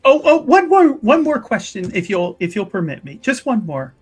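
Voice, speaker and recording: A tabletop player voicing a gracious, curious religious sister who speaks formally and haltingly, repeating effusive phrases. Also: medium pitch, very animated, slightly rough. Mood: excited, curious. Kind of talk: improvised tabletop roleplay.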